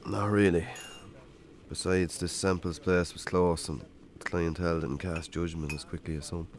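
An actor playing a hungover, defensive Irish farmer in a naturalistic radio drama. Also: male voice, low-pitched, little pitch movement, normal speed, slight background noise. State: hungover, groaning.

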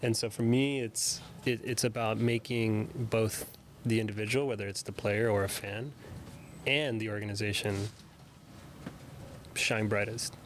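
A man's voice: lowish voice, fairly even intonation, a little slow, slight background noise. A man whose first language is Spanish, explaining his work as a team's video creator at length and earnestly, in a casual chat. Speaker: video content creator for a sports team. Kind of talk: casual podcast conversation.

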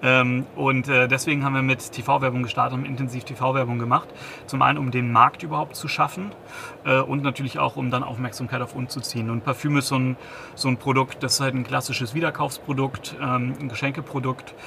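A man's voice: medium pitch, flat delivery, a little fast, vocal fry, sharp tone, slight background noise. A man in his thirties, a company founder, giving a business interview, hesitant, with many restarts and fillers. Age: 30s.

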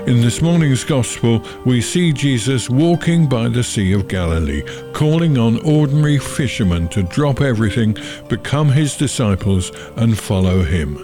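Guy leading a church prayer, reading a scripted welcome, really varied pitch, music behind him. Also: expressive, moderate pace, few pauses. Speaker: prayer service leader. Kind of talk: scripted religious address.

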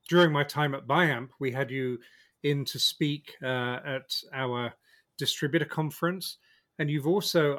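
A man hosting a podcast, reading an introduction and then asking interview questions in a measured way. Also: mid-range voice, fairly bright tone. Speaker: podcast host.

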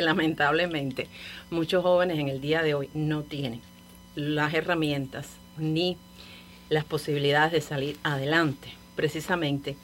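A woman with a Cuban Spanish accent speaks as a guest on a radio talk show. Her voice is on the low side, and she thinks aloud in long, wandering sentences with small restarts and soft laughs. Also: slight background noise. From Cuba. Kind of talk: casual radio conversation.

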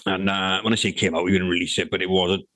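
Middle-aged man, low voice, dry close recording, rambling and sweary interview chat. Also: flat delivery, quick pace, bright, forceful tone, no room sound. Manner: rambling, swears freely, many restarts. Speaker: interviewee, hip hop artist.

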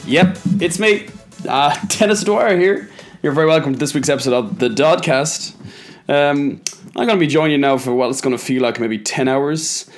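A man's voice: fairly high pitch, normal speed, faint music behind. A man in his twenties with an Irish accent, hosting a casual podcast interview and hyping up his guest with upbeat energy. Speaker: podcast host.

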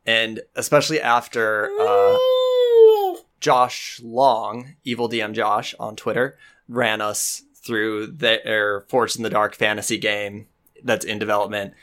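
A man's voice: fairly low pitch, flat delivery, slow, sharp tone. Chatty enthusiastic young man. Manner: chatty and enthusiastic, rambling with fillers. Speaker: podcast host and game master. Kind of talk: casual chat.

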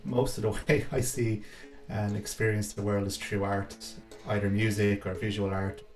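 Low, even-voiced man in a roomy space. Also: moderate pace. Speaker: composer. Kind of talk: reflective interview talk about own work. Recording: roomy echo, faint music behind.

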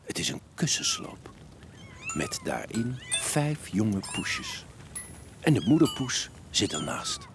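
A man's voice: very high voice, wildly swooping pitch, takes it slowly. A man narrating a children's audio story in a dramatic, suspenseful, scripted style. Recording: music bed behind the narration.

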